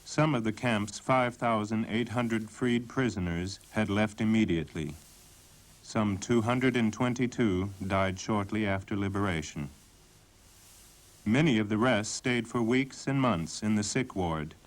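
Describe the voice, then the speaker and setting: A man with a fairly low voice delivers scripted documentary narration. His reading is measured and sober, in the role of narrator. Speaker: documentary narrator and voice-over translator.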